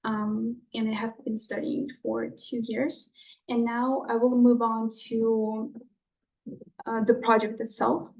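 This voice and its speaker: A teenage girl from Uzbekistan, a native Russian speaker, presenting a student research project over a video call in a measured way with ums. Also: fairly high pitch, flat delivery, vocal fry, a little mellow, poor audio. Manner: measured, with ums between phrases. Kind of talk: presentation talk.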